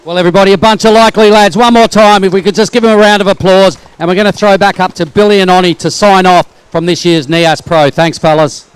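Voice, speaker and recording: Fast, high, clear-voiced man interviewing live. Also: fairly even intonation, dry recording, rough recording. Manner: upbeat, encouraging, informal. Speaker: on-site interviewer and presenter.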